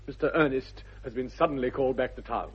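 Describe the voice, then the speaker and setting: Stuffy, exasperated English guardian for period radio comedy: rapid, rough-edged, clipped delivery. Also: male voice, mid-range voice. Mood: indignant. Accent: upper-class English. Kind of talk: 1960s radio comedy of manners acting. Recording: dull 1960s radio recording.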